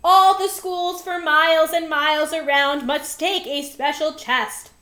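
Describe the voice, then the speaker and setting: A woman's voice: sits high, fairly even intonation, measured pace, bright, forceful tone, echoey room. A woman voicing a fretful older male school principal in a children's storybook, announcing things with dramatic emphasis. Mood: tearful, grave. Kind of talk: children's storybook read-aloud.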